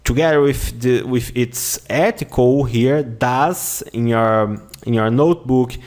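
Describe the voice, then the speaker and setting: Brazilian guy teaching a lesson, real slow, keeps repeating stuff and asking okay?. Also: mid-range voice, a little mellow. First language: Portuguese. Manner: slow, repeats words and phrases often, says "okay?" a lot. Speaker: language teacher. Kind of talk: online language lesson.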